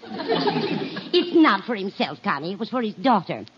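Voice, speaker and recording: An actress playing a kindly, scatterbrained older landlady in a 1950s radio sitcom, with a husky, earnest, chatty voice. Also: mid-range voice, big pitch swings, a little slow, background noise. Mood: chatty, helpful. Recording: dull vintage 1950s radio recording.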